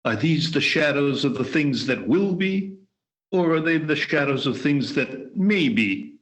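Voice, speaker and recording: Man voicing an old miser, pleading, earnest, very slow delivery, radio play. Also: on the high side, animated. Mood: solemn. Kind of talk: amateur radio play acting. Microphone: video call.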